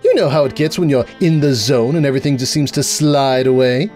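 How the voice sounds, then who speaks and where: A man's voice: mid-range voice, fairly quick, a little mellow, faint music behind, pitched above the performer's own voice, lightly acted. A man voicing a ladylike female fashionista pony in a comic storybook reading, gushing, genteel and affectedly polite. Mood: gracious.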